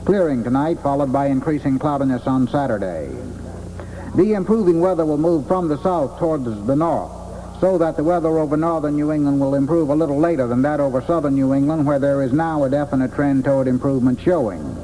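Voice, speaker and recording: A man's voice: on the high side, fairly quick, dark tone, rough recording. An American man reading a scripted weather forecast for an old radio broadcast. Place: airport weather office. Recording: old radio relay with faint noise.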